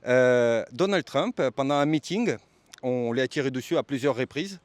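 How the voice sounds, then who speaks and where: A man's voice: medium pitch, animated, normal speed, dry recording. A man working as a street interviewer, asking questions politely and formally and giving his commentary. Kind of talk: vox pop interviewing and commentary.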